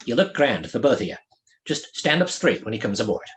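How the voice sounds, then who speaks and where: A man reading the part of a steady, fatherly male ship's officer, brisk and reassuring, in an audiobook. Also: medium pitch, slight background noise. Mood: assured.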